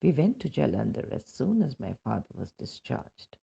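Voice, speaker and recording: A man with an Indian accent and a husky, gravelly voice reads a first-person devotional account aloud as scripted narration, in a dull lo-fi recording. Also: medium pitch, very animated, normal speed, dark tone. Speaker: reader of a devotional testimonial.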